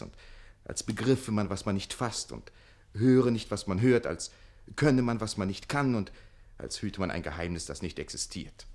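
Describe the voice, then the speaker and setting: Low-voiced, witty, cheeky manservant. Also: male voice, darkish tone. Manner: glib, ironic, declamatory. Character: witty, cheeky manservant, quick-thinking and irreverent. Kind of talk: classic theatrical radio play acting.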